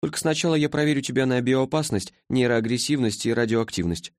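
A man's voice: mid-range voice, a little fast, no room sound, clean studio sound, pitched above the performer's own voice, close to an everyday voice. A man voicing a languid, sleepy female being from the far future in an audiobook, with a listless, clinical drawl. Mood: drowsy, clinical.

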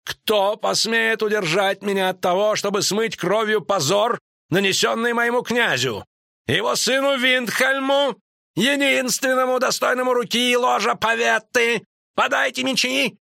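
A proud knight spoiling for a fight, played by a male reader, shouts his challenge in declamatory audiobook style. The voice is pushed high and sharp, with a dry sound. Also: a little slow, creaky, dry recording, full character voice. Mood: indignant, challenging. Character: outraged proud knight, defiant, spoiling for a duel.

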